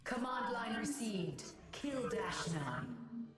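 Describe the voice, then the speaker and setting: A woman's voice: a little high, big pitch swings, takes it slowly. An actress playing a haughty, ruthless female robot assassin in a video game, with clipped, commanding, menacing delivery. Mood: clinical menace. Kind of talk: video game character voice lines. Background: music.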